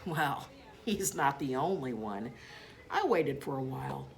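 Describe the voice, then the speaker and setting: A woman's voice: extremely low pitch, lively intonation, measured pace, vocal fry, full character voice. A woman voicing a clever, kindly, self-satisfied little classroom pet who narrates a storybook.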